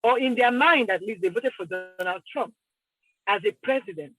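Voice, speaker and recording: A woman's voice: mid-range voice, moderate pace, fairly bright tone, poor audio. A middle-aged woman with a Nigerian accent, talking confidently and emphatically as a podcast guest. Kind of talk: casual conversation.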